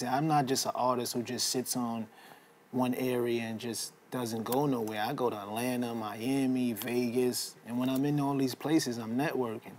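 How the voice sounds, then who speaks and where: A man's voice: medium pitch, a little hiss or hum. A man in his late twenties, a rap artist being interviewed, answering in a laid-back, slangy way and laughing easily. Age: 20s.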